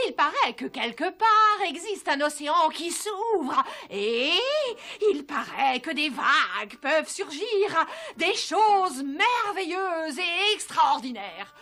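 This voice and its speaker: Bright, high, theatrical scheming court lady. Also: sing-song, slow pace, heavily acted. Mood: sly storytelling. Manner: theatrical, storytelling. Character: scheming jealous woman at court, spinning tales for the tsar. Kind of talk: dubbed animated fairy tale acting.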